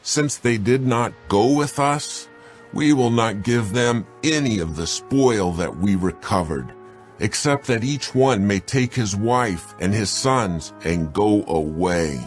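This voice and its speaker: An actor playing a selfish, hard-hearted soldier with a deliberate, dismissive delivery in a dramatized scripture recording. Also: male voice, lowish voice, lively intonation, faint music behind. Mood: grudging, dismissive. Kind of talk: dramatized scripture audio acting.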